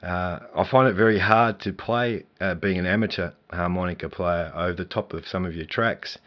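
A man's voice: low voice, normal speed. A man hosting a radio interview, asking casual, hesitant questions with many fillers. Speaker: radio host. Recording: dull lo-fi radio audio.

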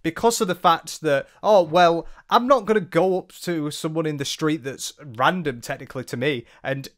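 A man's voice: on the high side, normal speed. A young man in his twenties doing casual reaction commentary, with sarcastic, mocking asides, close on a handheld mic in a small room. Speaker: commentary YouTuber. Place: small room indoors, at a desk. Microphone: handheld dynamic mic close up.

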